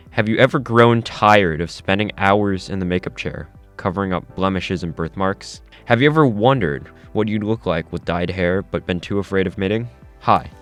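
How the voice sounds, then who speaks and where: Casting: male actor as low-voiced tech pitchman for an audio drama, slick, salesmanlike, walking customers through a product. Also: fairly bright tone. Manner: salesmanlike, rhetorical, instructive. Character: slick male tech company head engineer pitching a gadget. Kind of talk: scripted infomercial presentation.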